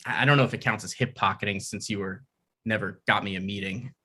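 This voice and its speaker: A man's voice: on the low side, steady tone, fast talker, pushed and bright, husky. A man reading the part of an awkward, struggling sitcom writer, stammering and deflated. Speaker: writer and actor in a table read. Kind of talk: comedy table read.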